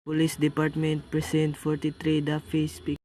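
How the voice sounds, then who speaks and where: Stolid desk cop played by a woman: very monotone, low, terse, radio play, loud background noise. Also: moderate pace, natural voice. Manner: flat, terse, formulaic. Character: stolid, routine-minded police desk officer. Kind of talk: amateur radio play acting. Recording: clearly noisy amateur recording.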